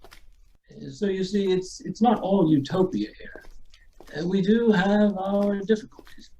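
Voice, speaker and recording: An actor playing an aloof, genteel and coolly ruthless estate owner in a radio drama, with formal, hesitant delivery. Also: male voice, sits high, dark tone, dull audio. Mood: blandly menacing. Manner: pompous, formal, hesitant pauses. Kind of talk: old-time radio drama acting.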